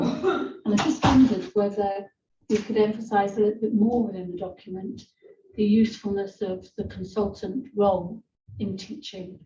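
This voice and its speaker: A woman board member posing methodical questions in a formal meeting, citing page numbers and pausing with "um". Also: medium pitch, a little mellow. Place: meeting room. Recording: distant echoey muffled mic with faint noise.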